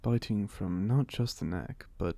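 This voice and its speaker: A man's voice: lowish voice, measured pace, gravelly, mellow, no room sound. A man playing a playful, chatty old vampire with a British accent in an ASMR audio roleplay, speaking in a theatrical, genteel, jokey way. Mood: wry, confiding. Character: playful, chatty 127-year-old male vampire being interviewed.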